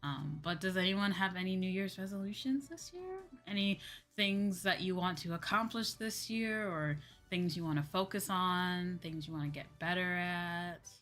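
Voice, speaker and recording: A woman's voice: fairly low pitch, moderate pace, fairly bright tone. A woman hosting a livestream as a VTuber, talking casually in a chatty, giggly way. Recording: background music behind voice. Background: music.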